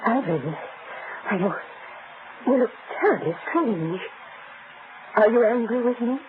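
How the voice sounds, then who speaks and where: A woman's voice: on the high side, expressive, slow. An actress playing a nervous, hesitant wife frightened of her husband in an old-time radio melodrama. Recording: noisy, tinny old radio recording.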